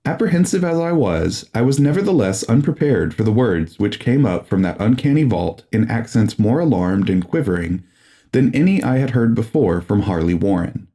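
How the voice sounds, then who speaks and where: A man's voice: fairly low pitch, sing-song, moderate pace, dark tone. A man narrating a gothic horror audiobook in a solemn, formal and dramatic manner.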